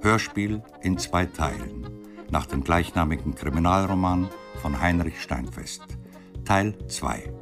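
Very deep, slow gravelly male announcer. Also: expressive. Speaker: programme announcer. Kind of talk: scripted title and credits announcement. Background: loud music.

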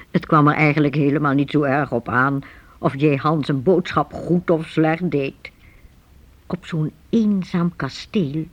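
A narrator telling a children's radio story in a dramatic, suspenseful and deliberate storybook style. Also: medium pitch, breathy edge.

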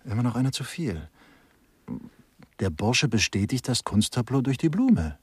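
An actor playing a droll, cynical investigator in a radio play, theatrical when reciting and offhand in his asides, with a husky voice. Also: mid-range voice, sing-song, unhurried, a little mellow, no echo. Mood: offhand, mock-poetic. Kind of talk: German radio play dialogue.

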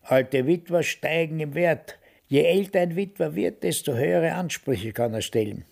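Radio play, blunt old Austrian widower around ninety: husky, fry-laden voice, dismissive and cynical. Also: male voice, a little high, normal speed, darkish tone. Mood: grumbling. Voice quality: gravelly, husky.

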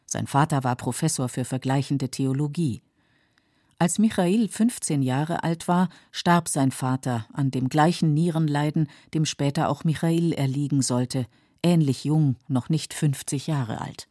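Low, mellow female narrator. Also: no room sound, studio quality. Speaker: narrator of a radio literary feature. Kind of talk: scripted documentary narration.

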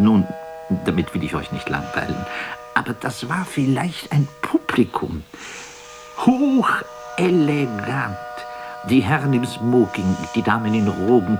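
A man playing a world-weary, self-mocking stage actor in a radio drama monologue, declaiming haltingly with bitter irony and often sinking to a breathy whisper. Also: lowish voice. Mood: ironic reminiscence.

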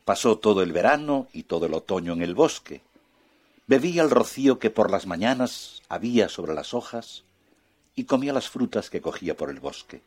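A man narrating a children's fairy tale in an expressive, soft-spoken way. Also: lowish voice, normal speed. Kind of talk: children's storytelling.

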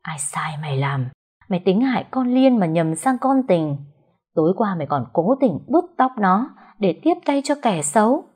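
A woman voicing a vengeful ghost that speaks in a slow, hushed, menacing way. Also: medium pitch, big pitch swings, slightly rough. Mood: interrogating.

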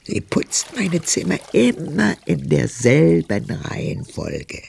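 An actress playing a very old, bitter and sardonic woman in a radio drama monologue, with a husky, gravelly voice and brooding, biting diction. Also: low-pitched, sing-song, slow pace, background noise, heavily acted. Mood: scornful. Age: older.

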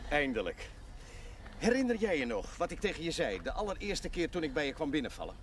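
A high, very animated male voice as a jovial parish priest in a TV drama. He is shrewd and mock-solemn, with slight noise on the soundtrack. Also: fairly quick. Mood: teasing, mock-solemn. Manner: theatrical, mock-solemn, teasing. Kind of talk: television drama acting.

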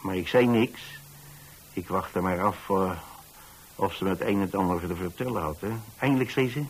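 A man's voice: low-pitched, normal speed, husky. An actor playing a kindly retired landlord, an older man telling a story in a hesitant, folksy, conversational way for radio drama. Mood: chatty, sympathetic. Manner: hesitant, folksy, chatty, with small asides. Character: kindly retired landlord telling about his young lodger.

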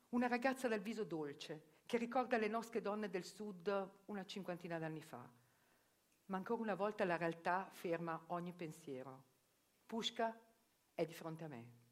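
A woman narrating a theatre reportage in a measured, reflective storytelling manner. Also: lowish voice.

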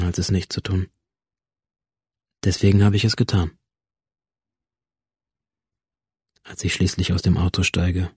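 An actor playing a brooding, detached male school student in an introspective, measured first-person radio drama monologue, with a low, husky voice. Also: warm tone, no echo, studio quality. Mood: cold, resolved.

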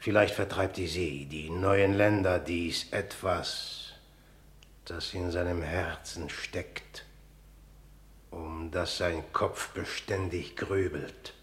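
Grave, scheming monarch for radio tragedy. Bass voice, measured, unhurried, brooding delivery. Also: male voice, steady tone. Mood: brooding, resolute. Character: grave, calculating, suspicious king. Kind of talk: classical stage tragedy in German radio drama.

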